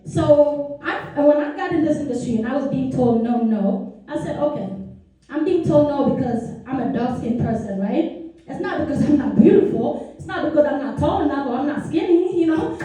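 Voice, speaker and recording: A woman's voice: high voice, mellow, very echoey, recorded from a distance, poor audio. A young woman from South Sudan telling her personal story to a meeting, earnest and chatty with many asides. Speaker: speaker. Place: meeting room.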